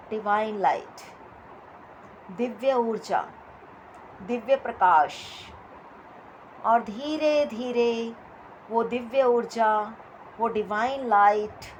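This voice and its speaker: A woman leading a guided meditation as instructor, with soothing, repetitive phrasing and pauses between short instructions. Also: on the high side, a little hiss or hum, rough recording.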